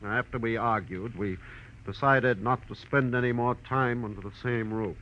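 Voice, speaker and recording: An actor playing a defensive, rattled husband under suspicion in a 1940s radio crime drama, protesting on an old recording. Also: male voice, medium pitch, fairly even intonation, normal speed, lightly acted. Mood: sheepish, explaining. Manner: indignant, protesting. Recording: dull, slightly noisy old radio recording.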